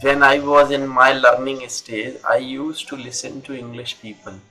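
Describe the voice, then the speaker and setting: A man's voice: mid-range voice, little pitch movement, moderate pace, bright, forceful tone, poor audio. A middle-aged man from North India with a North Indian accent, an English teacher chatting earnestly over a video call and repeating his points. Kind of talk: casual conversation.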